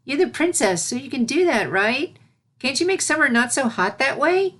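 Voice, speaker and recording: A woman's voice: sits high, very animated, moderate pace, a bit bright, breathy edge, voice pushed up, exaggerated voice. A woman voicing an eager, polite little unicorn filly in a storybook reading, with a childlike, inquisitive manner. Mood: curious, puzzled.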